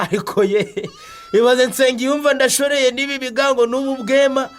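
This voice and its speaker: A man's voice: extremely high pitch, fairly even intonation, moderate pace, a bit bright. A man with a Rwandan accent acting a stubborn, proud, burly character in a radio drama, boastful and blustering, with grunts and repeated muttering. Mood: boastful, scoffing.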